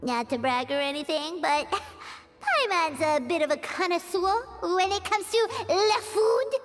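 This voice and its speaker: An actress voicing a tiny fairy companion who talks in a squeaky, childlike way and refers to herself in the third person. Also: female voice, animated, a little slow, over music. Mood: indignant. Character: tiny floating fairy companion, chirpy and greedy.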